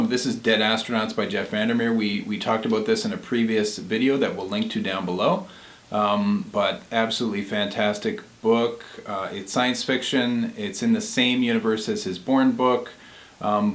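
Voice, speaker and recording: A man in his forties giving casual, enthusiastic book recommendations as co-host of a video blog. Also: fairly low pitch. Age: 40s. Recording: echoey, roomy sound.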